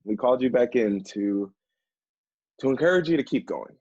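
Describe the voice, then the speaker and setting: Man to play supportive literary professional on stage; medium-pitched, slightly rough voice, courteous, muffled sound. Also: animated, normal speed, darkish tone, no echo, close to an everyday voice. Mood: encouraging. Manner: polite, encouraging. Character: measured, encouraging literary agency professional. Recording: dull, dry stage recording.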